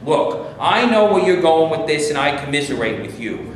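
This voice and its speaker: A very echoey, distant recording of a staged reading carries a man as a hard-nosed studio boss. His voice is high, and he argues defensively in an emphatic, businesslike way. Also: very animated, moderate pace. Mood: insistent. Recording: echoey live room, distant camera, noisy.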